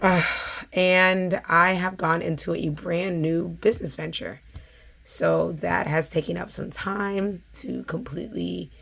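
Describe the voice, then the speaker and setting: A woman, talking casually to her viewers in a hoarse, scratchy voice, with plenty of fillers. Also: fairly low pitch, a bit bright. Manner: chatty, with frequent fillers. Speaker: vlogger. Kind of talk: casual chat.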